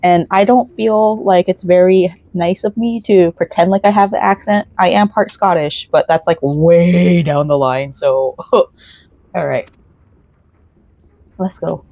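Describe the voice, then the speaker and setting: A woman hosting a relaxed let's-play while knitting, chatting in a rambling way and laughing often. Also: fairly low pitch, measured pace, no room sound. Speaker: gaming and crafting channel host. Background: faint game music.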